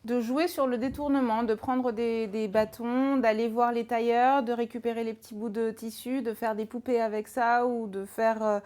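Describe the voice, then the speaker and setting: Woman in her 30s talking about her work, calm and measured, voice sits a bit high. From France. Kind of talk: interview.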